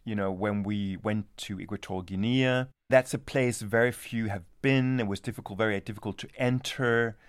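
A man's voice: fairly low pitch, fairly even intonation, moderate pace, few pauses, clean tone, no room sound, studio quality. Thoughtful, hesitant male anthropologist. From Denmark. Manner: thoughtful, hesitant, many restarts. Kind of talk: reflective interview answers.

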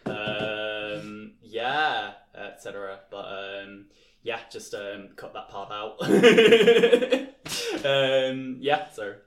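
A man's voice: fairly low pitch, expressive, extremely slow, soft background music. A young man co-hosting a casual podcast, rambling with many fillers and restarts. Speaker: podcast co-host. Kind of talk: casual chat. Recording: echoey room.

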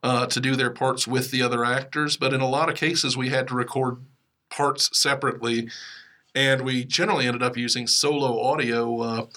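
A man's voice: medium pitch, steady tone, moderate pace, sharp tone. A man hosting a podcast in a casual, behind-the-scenes chat style. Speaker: podcast host.

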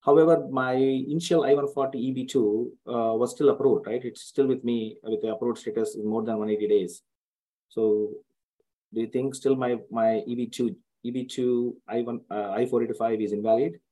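A man calling in for advice, speaking haltingly, repeating words and using non-native grammar. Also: medium pitch, fairly even intonation, mellow, poor audio. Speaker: caller seeking advice. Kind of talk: question and answer on a call.

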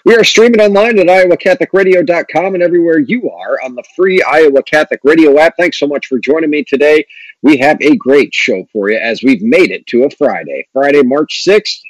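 A man's voice: a little high, a little fast, dry recording, rough recording. A man hosting a morning talk radio show, running through the lineup in an upbeat announcer way. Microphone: studio microphone.

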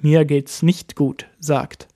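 A man teaching a language lesson, explaining each point slowly step by step. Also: on the high side, flat delivery, vocal fry, mellow. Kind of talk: lesson narration.

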